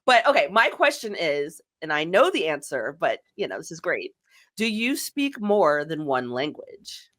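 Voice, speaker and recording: A woman's voice: sits low, sing-song, measured pace, fairly bright tone. A woman hosting a podcast, chatting casually and reacting with enthusiasm and slang. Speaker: podcast host. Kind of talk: casual interview chat.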